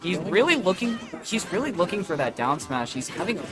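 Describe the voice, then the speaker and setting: A man's voice: sits high, big pitch swings, brisk. Slangy man commentating over game music. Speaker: esports commentator. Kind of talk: casual live play-by-play commentary.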